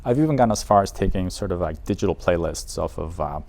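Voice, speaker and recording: A man's voice: deep voice, lively intonation, fast, creaky, a little mellow. A man presenting a casual product guide in an audio shop, talking informally and with enthusiasm for the gear. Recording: noticeable background noise, room ambience.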